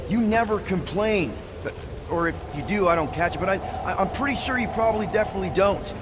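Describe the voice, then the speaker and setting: High-pitched young cocky space outlaw. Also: male voice, lively intonation, a little fast, background noise. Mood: earnest. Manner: rambling, emphatic. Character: young male space-outlaw leader, cocky, rambling, earnest. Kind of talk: video game voice acting. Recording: loud game music behind.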